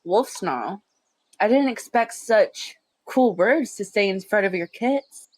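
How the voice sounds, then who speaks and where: A woman playing a polite but firm female cat leader in a roleplay, speaking calmly. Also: mid-range voice, slow, dry recording. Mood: controlled, tense. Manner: calm, polite.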